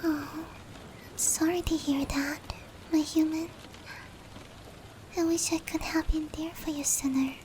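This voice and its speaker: A woman voicing a gentle, protective female demon in a soothing, breathy whispered ASMR roleplay, slow and comforting. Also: high voice, little pitch movement, a little hiss or hum. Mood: tender, reassuring. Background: rain.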